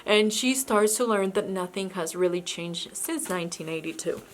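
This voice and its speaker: A woman's voice: mid-range voice, normal speed. Chatty woman with a mid-range voice. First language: not English. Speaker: book vlogger. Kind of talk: casual book haul chat.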